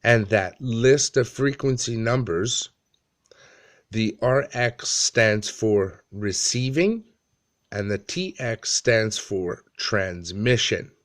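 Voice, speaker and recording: A man in his thirties with a fairly low voice talks through a how-to at a very slow pace. His delivery is casual and plain, broken by frequent pauses, as in a homemade tutorial. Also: expressive. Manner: halting, plain-spoken, pauses often. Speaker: amateur tutorial presenter. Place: small dim room indoors.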